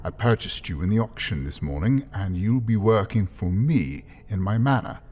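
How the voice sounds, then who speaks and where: A man playing a cold, commanding lord in an audio drama, speaking formally and with measured authority. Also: fairly low pitch, lively intonation, darkish tone. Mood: lordly command. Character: cold, commanding English-style lord who buys servants. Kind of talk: scripted audio drama acting. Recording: music behind the voice.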